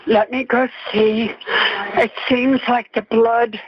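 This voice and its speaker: An older woman calling emergency services on the phone, distraught and shaken, speaking haltingly with exclamations. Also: fairly high pitch, flat delivery, slightly rough. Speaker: emergency caller. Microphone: telephone. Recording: muffled lo-fi telephone line.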